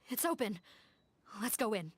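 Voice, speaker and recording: A woman voicing an earnest young heroine in a video game, calm and regretful. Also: a little high, slow pace, husky, full character voice. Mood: weary.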